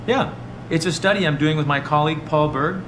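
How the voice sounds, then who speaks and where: Male actor as eager awkward young researcher, highish voice, hesitant, chatty, game dialogue, echoey room. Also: a little fast, noisy background, lightly acted. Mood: friendly, awkward. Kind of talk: naturalistic video game acting.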